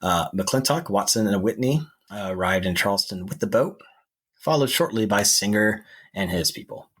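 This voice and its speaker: A man with a husky voice telling history in a conversational podcast style. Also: fairly low pitch, animated, moderate pace, a bit bright. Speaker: podcast co-host.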